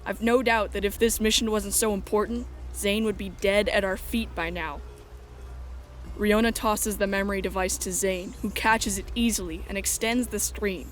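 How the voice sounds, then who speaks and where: A woman's voice: medium pitch, fairly even intonation, normal speed, slight background noise. A woman reading scripted first-person story narration as the narrator of a drama.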